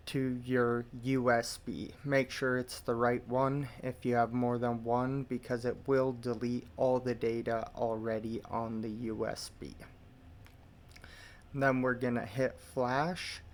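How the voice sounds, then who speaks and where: A man hosting a tech tutorial, calmly explaining each step of a computer walkthrough with occasional ums. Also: mid-range voice. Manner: unhurried step-by-step explaining with fillers. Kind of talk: screen-recorded how-to walkthrough.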